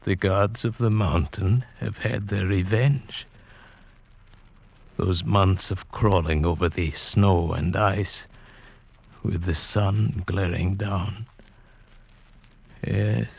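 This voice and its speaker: An actor playing an anguished, awestruck mountaineer, telling his ordeal in a poetic, measured, intense 1940s radio drama style. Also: on the low side, vocal fry, a little mellow, husky, heavily acted. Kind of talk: 1940s radio drama acting, first-person narration. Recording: dull vintage radio recording with music. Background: dramatic orchestral score.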